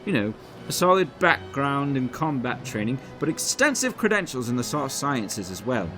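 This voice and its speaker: A man's voice: medium pitch, big pitch swings, normal speed, bright, forceful tone. A man playing a courteous, eccentric agency director with a formal, clipped manner, in a comic audio drama. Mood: brisk. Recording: music underneath.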